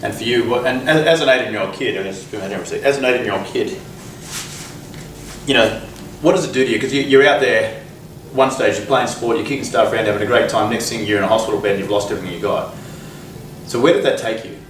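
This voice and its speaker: A man's voice: fairly low pitch, fast, fairly bright tone, a little hiss or hum, poor audio. A man hosting a motivational interview, talking with energy and often restarting his phrases. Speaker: interviewer and host. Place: outdoors at a conference venue.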